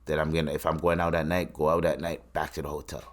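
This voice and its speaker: Deep voiced guy hosting a podcast, rambling and cussing, laughs at his own points. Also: flat delivery, fast talker. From Tanzania. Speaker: podcast host. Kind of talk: casual solo podcast commentary.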